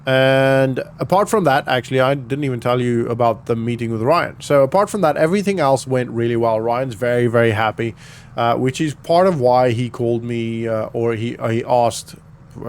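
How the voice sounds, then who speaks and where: A man's voice: medium pitch, moderate pace, a little hiss or hum. A man giving casual, rambling vlog commentary while he plays a game, often correcting himself as he goes. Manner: rambling, self-correcting, chatty. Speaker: gaming video host.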